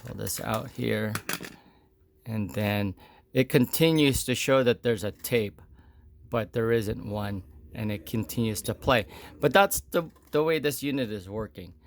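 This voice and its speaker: Slow, halting man giving a casual demo. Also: lowish voice, creaky. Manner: halting, pausing between short phrases. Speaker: product demonstrator. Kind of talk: casual hands-on gadget demo, unscripted.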